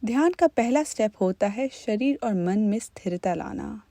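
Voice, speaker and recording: A woman leading a guided meditation as an instructor, in a calm, gentle, unhurried manner. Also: mid-range voice, dry recording.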